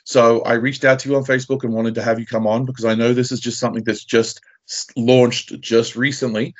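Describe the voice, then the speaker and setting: A man's voice: mid-range voice, level tone, a little fast. A man hosting a podcast interview in a conversational style. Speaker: podcast host. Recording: slightly dull, lo-fi audio.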